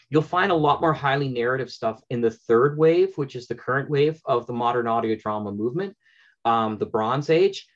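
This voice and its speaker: Man, fifties, medium pitch, effusive, rambling, casual interview guest, dull video-call audio. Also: fairly bright tone. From Canada. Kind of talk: enthusiastic casual interview talk. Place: small home room with bookshelves, indoors.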